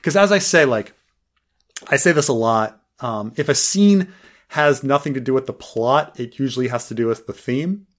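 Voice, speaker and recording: A man's voice: mid-range voice, moderate pace. Male podcast co-host, casual chatty review, filler-heavy and meandering. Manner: rambling, many fillers and restarts; laughs while joking.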